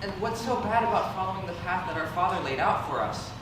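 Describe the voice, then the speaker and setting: A woman's voice: fairly low pitch, lively intonation, fast, close to an everyday voice. A woman playing a haughty, competitive favored sibling with a defensive, scornful manner in an amateur stage drama. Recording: echoey room from far off, noisy.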